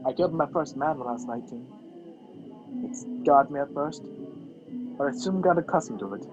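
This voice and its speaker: A man's voice: a little high, lively intonation, brisk, close to an everyday voice. A young man acting a wisecracking, sarcastic fugitive in an amateur radio play. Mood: mock confessional. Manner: dry sarcasm. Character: wisecracking fugitive man, sardonic, handcuffed to a woman. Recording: dull lo-fi video call audio. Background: music.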